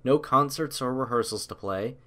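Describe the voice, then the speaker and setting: A man reading a story aloud as scripted audiobook narration, in a measured, literary storytelling manner. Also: mid-range voice, a bit bright.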